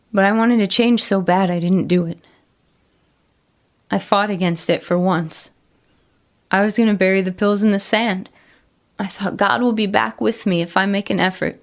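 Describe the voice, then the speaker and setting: A woman's voice: mid-range voice, natural voice. An actress playing a troubled, remorseful woman who confesses in a plain, earnest way in a horror audio drama. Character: troubled, remorseful woman struggling with addiction, pleading to be believed. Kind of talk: first-person horror audio drama monologue.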